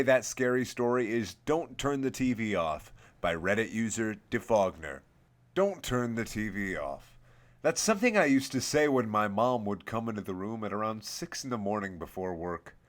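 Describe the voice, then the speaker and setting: Man, low-pitched voice, horror story narrator, series host, first-person scripted reading. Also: fairly bright tone.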